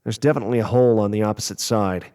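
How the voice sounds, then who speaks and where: A man playing a skeptical, level-headed spaceship crew member in a sci-fi audio drama, measured and rational. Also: on the low side, warm tone, close to an everyday voice. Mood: calm, skeptical.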